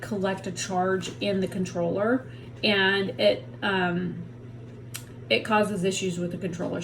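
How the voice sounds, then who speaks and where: Chatty woman in pain, noisy home recording. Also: medium pitch. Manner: chatty, rambling, frank. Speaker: vlogger. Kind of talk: casual talk to camera. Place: at home on a heating pad.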